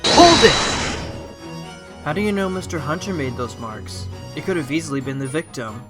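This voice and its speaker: A man's voice: on the high side, very animated, unhurried, over music. A man playing a determined, wry fox defense lawyer in a courtroom audio drama, speaking earnestly. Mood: challenging.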